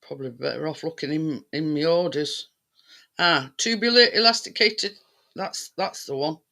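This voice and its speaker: A man in casual, rambling chat, often halting as he searches for words. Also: a little high, vocal fry, bright, forceful tone.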